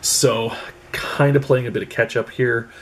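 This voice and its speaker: A man's voice: medium pitch, fairly even intonation, unhurried, slight background noise. A man in his thirties with a stuffy nose from a cold, casually explaining how he built a cosplay prop, rambling with many fillers, filmed in a small bedroom on a desk camera mic. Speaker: cosplay vlogger. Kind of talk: casual show-and-tell vlog.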